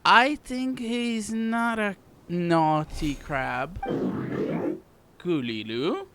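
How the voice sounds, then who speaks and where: A player giving casual gameplay commentary, reading and reacting aloud in halting short bursts. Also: mid-range voice, very noisy. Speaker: gaming video creator playing a story quest. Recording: loud game music behind voice. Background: loud game music.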